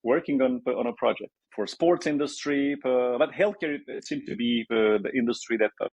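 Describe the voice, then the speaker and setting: A man's voice: medium pitch, normal speed, a little mellow, slightly rough, dry recording, highs cut off. A man in his forties from Romania with a Romanian accent, answering interview questions hesitantly with many fillers and restarts. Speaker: guest entrepreneur.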